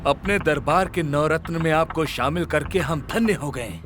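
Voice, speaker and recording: A man voicing a regal, impatient middle-aged emperor in an animated story, with a commanding manner. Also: on the high side, noisy background, close to an everyday voice. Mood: pleased. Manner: commanding, quick to demand answers. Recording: background music under voice.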